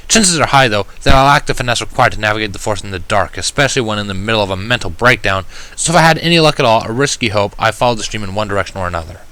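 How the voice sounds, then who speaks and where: Bright-voiced man reading a story. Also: on the low side, lively intonation, rapid-fire, low-quality recording. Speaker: fan fiction reader. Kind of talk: first-person audiobook-style story narration.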